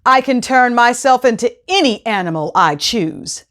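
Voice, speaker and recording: Woman as a boastful, high-voiced storybook sorcerer. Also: expressive, moderate pace, a bit bright. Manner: crowing, boastful. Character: boastful, sneering male sorcerer, vain and silly. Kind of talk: storybook read-aloud for children.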